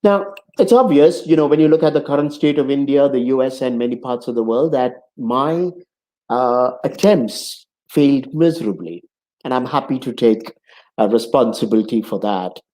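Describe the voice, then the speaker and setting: A man in his sixties hosting a conversation show, speaking in an earnest, measured way from his home office. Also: mid-range voice, mellow, low-quality recording. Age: 60s. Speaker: host. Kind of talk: hosted conversation opening monologue.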